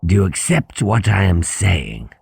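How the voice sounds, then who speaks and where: A man voicing a stern older school principal in a formal, pompous, deliberate lecturing manner for an audiobook. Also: sits low, big pitch swings, vocal fry, a little mellow, breathy edge, no room sound, heavily acted. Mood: stern, reproving.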